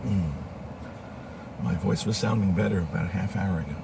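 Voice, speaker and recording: A middle-aged man with a cold, hoarse and murmuring, leading a meditative live-streamed ceremony. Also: low-pitched, distant mic, background noise. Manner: slow, meditative, murmuring thanks. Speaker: spiritual leader.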